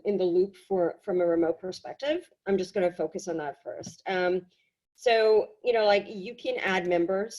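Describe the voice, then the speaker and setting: A woman giving a casual software tutorial, explaining in a halting, conversational way, slightly hoarse from a cold. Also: on the low side, poor audio. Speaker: workshop presenter. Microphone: video call.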